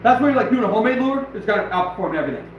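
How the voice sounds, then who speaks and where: A hoarse man who is losing his voice, rambling excitedly and bluntly as he hosts a casual outdoor livestream. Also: high-pitched, racing, a little hiss or hum. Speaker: trapper and channel host. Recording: very echoey, distant, dull and lo-fi.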